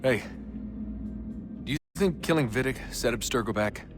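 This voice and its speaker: An actor playing a guarded young hero in a video game, speaking in brief, understated conversational lines. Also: male voice, mid-range voice, lively intonation, normal speed, over music, lightly acted. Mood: subdued, questioning.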